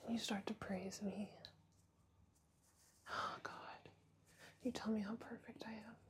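A woman whispering an intimate roleplay monologue close to the mic, breathless and pleading, with soft moans between words. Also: mid-range voice, flat delivery, unhurried, slight background noise. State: aroused and breathless. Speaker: audio roleplay performer.

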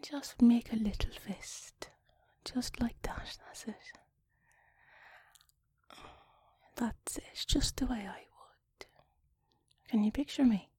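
Woman playing chatty, awkward Irish wife for intimate audio drama. Breathy, whispery, coaxing delivery, very dry recording. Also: fairly high pitch, warm tone, no room sound, full character voice. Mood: soft, coaxing. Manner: halting, self-conscious, coaxing, drifting into breathy whispers. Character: flustered, chatty Irish wife talking intimately to her partner. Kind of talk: intimate erotic audio roleplay.

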